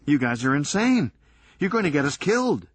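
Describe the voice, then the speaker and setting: A man's voice: fairly high pitch, sing-song, normal speed, husky, pitched above the performer's own voice. A man voicing a nervous young man in a crime story, talking in frantic, pleading bursts. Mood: panicked. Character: panicky nervous young man, near tears.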